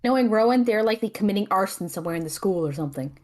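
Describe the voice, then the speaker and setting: A woman voicing a blunt, sarcastic young magic student in an audio drama, often exasperated and deadpan. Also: medium pitch, very fast, natural voice. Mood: teasing. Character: sardonic, blunt young magic student, quick to threaten friends. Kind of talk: audio drama character banter.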